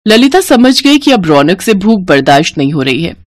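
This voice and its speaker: A woman narrating a scripted moral story in an expressive storytelling manner. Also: fairly low pitch, normal speed, no echo, studio quality.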